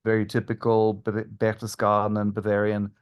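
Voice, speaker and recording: Low-voiced man explaining travel details. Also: completely flat delivery, moderate pace, clean tone. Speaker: tour guide and historian guest. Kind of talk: informative interview answers about travel.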